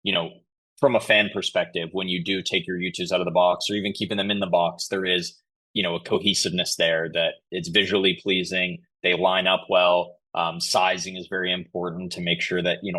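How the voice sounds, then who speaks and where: A man's voice: low voice, fairly bright tone. A man answering interview questions in a conversational, explanatory way, often saying "you know". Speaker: podcast guest, company chief executive.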